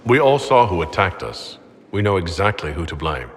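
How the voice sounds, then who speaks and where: An actor playing a principled, steadfast leader in a fantasy game, firm and plain-spoken. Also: male voice, low voice, sing-song, normal speed, a little hiss or hum. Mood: firm, reassuring. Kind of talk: video game dramatic acting. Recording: long hall reverb, clear background ambience.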